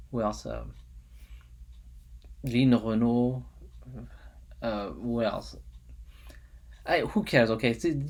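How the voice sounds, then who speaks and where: A young man from France talks in a French accent, reviewing a show for his vlog. He rambles, filling gaps with "uh" and restarting his sentences, chuckling now and then. His voice sits at a middling pitch. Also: darkish tone. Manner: rambling, many fillers and restarts, chuckles often. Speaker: vlogger.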